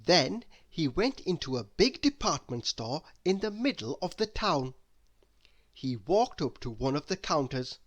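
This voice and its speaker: A man reading a children's story aloud in an expressive, deliberate way. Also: a little high. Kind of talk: expressive scripted narration for children.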